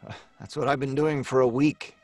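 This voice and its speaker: An actor playing a nervous, weary, eccentric novelist in an old-time radio mystery, speaking hesitantly with stammers and self-deprecation. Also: male voice, medium pitch, fairly even intonation, moderate pace, a little mellow, no room sound, lightly acted. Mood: weary, self-deprecating.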